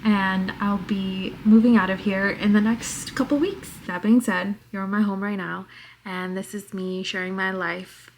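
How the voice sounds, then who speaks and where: Woman, twenties, very soft voice, soft-spoken, reflective, earnest vlog talk. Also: medium pitch, fairly even intonation, unhurried, a little hiss or hum, rough recording. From New York, United States. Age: 20s. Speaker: vlogger. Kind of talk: casual vlog monologue. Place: her apartment at home.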